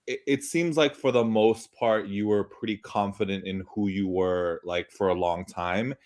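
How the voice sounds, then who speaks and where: A man in his late thirties phones into a live chat show. His voice sits a little low, and he talks in a halting, searching way, often backing up and starting a sentence over. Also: fairly even intonation, darkish tone. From United States. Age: 30s. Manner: hesitant, halting, many restarts. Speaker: caller. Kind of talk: casual chat. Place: off camera, joining a live stream remotely.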